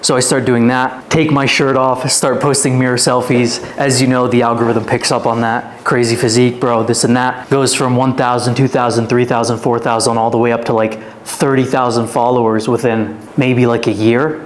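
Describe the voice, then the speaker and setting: A man's voice: mid-range voice, flat delivery, normal speed, hardly any pauses. A man in his twenties from Canada, a podcast guest telling his life story in a loose, rambling way full of "like.". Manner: rambling, filler-heavy storytelling with many "like"s. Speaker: guest, fitness influencer. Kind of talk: casual storytelling interview.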